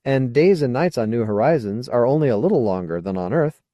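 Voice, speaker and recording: A man's voice: medium pitch, animated, a little fast, mellow, no echo, voice pushed up, lightly acted. A man voicing a wary, awkward, questioning male character in an audiobook. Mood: skeptical. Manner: hesitant, questioning. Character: wary, awkward man, skeptical former idealist.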